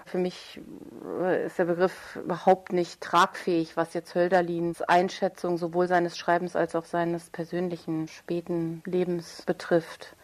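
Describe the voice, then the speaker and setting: A woman's voice: lowish voice, monotone, unhurried, hardly any pauses. A woman speaking as an interviewed writer, thoughtful and articulate, searching for precise wording as she reflects on literature. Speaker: writer interviewed about own radio play.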